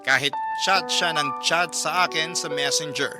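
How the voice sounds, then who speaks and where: A man's voice: a little high, unhurried. A man narrating a scripted first-person story as a radio host, reading out a letter. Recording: music bed under the voice. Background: prominent background music.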